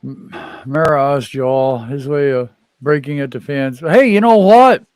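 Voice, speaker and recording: A man hosting a casual sports livestream, cracking quick teasing jokes with his co-hosts. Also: medium pitch, a little mellow, clear, resonant tone, no room sound. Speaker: stream host. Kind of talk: casual sports stream chat.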